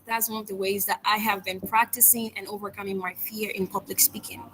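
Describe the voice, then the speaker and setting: A woman's voice: fairly high pitch, sharp tone, a little hiss or hum, rough recording. A young woman with a Liberian accent, a guest speaker earnestly describing her public speaking journey in an interview. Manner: earnest, measured, self-reflective. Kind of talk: interview answers.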